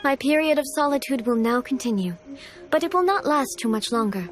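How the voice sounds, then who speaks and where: A woman playing a stern, dignified immortal ruler in a video game, speaking in a formal, measured, composed way. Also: high voice, animated. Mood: composed, aloof.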